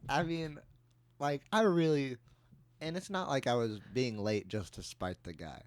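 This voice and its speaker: A man's voice: mid-range voice, measured pace, dry recording. A young adult man co-hosting a podcast, telling anecdotes and bantering in a casual way. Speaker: podcast co-host.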